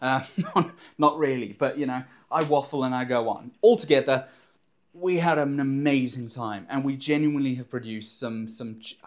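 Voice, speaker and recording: A man's voice: medium pitch, a little mellow. A young man talking casually to camera from a home studio on a lapel mic, rambling with many fillers and restarts. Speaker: maker and drone surveyor vlogger. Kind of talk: casual enthusiastic talk to camera. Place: indoor home studio in front of a backdrop. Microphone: clip-on lapel mic.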